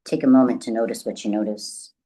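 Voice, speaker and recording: Very low-pitched woman guiding meditation. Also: steady tone, slightly rough. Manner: gentle, slow, deliberate phrasing with long pauses. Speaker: meditation guide. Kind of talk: guided meditation, soothing instruction.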